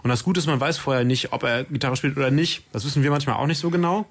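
A man's voice: medium pitch, fast talker. A man chatting casually and jokingly as a band member being interviewed in a radio studio. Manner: joking, tongue-in-cheek.

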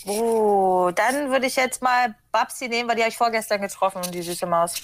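A woman's voice: on the high side, normal speed, dry recording. A woman chatting casually in an interview, with a slangy, blunt, informal manner. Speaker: band member being interviewed. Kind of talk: casual chat.

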